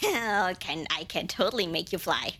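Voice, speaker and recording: A woman's voice: fairly low pitch, expressive, normal speed, pushed and bright, husky, heavily acted. A woman playing a cute, eager and nervous little female demon in an ASMR roleplay, bubbly and over-polite with hesitant stammers. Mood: stalling, hopeful. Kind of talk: ASMR roleplay acting addressed to listener.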